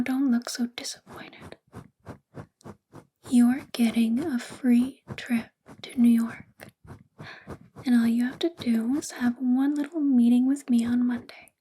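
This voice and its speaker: Woman as obsessed, flirty lady boss for ASMR roleplay: breathy near-whisper, unhurried, intimate, teasing. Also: a little high, mellow. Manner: slow, intimate, coaxing, teasing. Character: possessive, obsessed, flirtatious female boss doting on employee. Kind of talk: soft-spoken ASMR roleplay.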